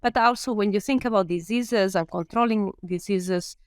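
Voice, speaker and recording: A woman's voice: medium pitch, normal speed, smooth, no room sound, studio quality. A woman in her fifties from Spain with a Spanish accent, a veterinary professor being interviewed and talking it through thoughtfully with frequent ums. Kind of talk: podcast interview.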